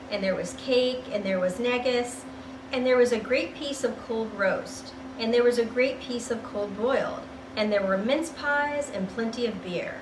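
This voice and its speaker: Expressive woman storyteller, roomy sound. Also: a little high. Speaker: storybook reader. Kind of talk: dramatic scripted narration. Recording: roomy, distant mic, noisy background.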